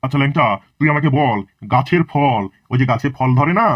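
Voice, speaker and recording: A man's voice: mid-range voice, brisk, no room sound, dull audio, natural voice. A man voicing a sly cartoon schoolteacher who talks in a sweet, mock-kind way. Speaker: teacher. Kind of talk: cartoon voice acting.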